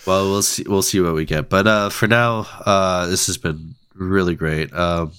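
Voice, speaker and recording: A man co-hosting a casual film podcast, relaxed and thoughtful, with a stuffed-up nose. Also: deep voice, measured pace, clear, resonant tone, dry recording, studio quality. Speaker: podcast co-host.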